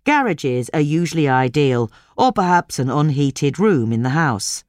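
A woman's voice: sits low, lively intonation, unhurried, darkish tone, no room sound, clean studio sound. A woman with a British accent reading a scripted gardening article for a podcast. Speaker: podcast reader.

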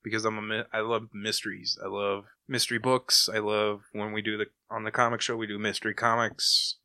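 A man's voice: on the low side, flat delivery, brisk, sharp tone, clear, resonant tone, dry recording, clean studio sound. A man co-hosting a podcast in a casual, chatty style. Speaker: podcast co-host. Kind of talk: casual chat.

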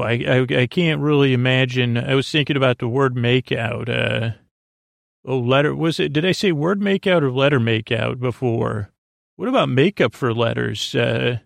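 A man hosting a bedtime podcast in a rambling, improvised monologue, hesitant and halting with false starts, musing whimsically as he goes. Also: medium pitch, vocal fry, no room sound, clean studio sound. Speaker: podcast host.

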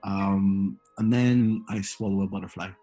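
Guy chatting, kinda husky and low, rambly story, bit of music in the back. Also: steady tone, a little slow, mellow. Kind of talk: casual chat.

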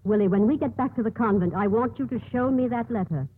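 An actress playing an earnest, gently probing nun in a 1950s radio drama. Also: female voice, fairly high pitch, quick pace, no room sound. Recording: muffled, husky-sounding 1950s radio recording.

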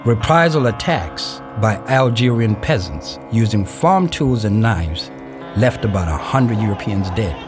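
A man playing a grave narrator of a historical radio play, reading scripted narration in a measured, deliberate, formal way with pauses. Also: on the low side, lively intonation. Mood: solemn, factual. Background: music.

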